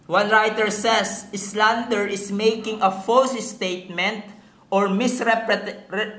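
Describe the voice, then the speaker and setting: Bright-voiced Filipino preacher in an echoey room. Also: mid-range voice. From Philippines. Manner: didactic, mixing two languages, with rhetorical tag questions. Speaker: pastor preaching. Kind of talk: sermon.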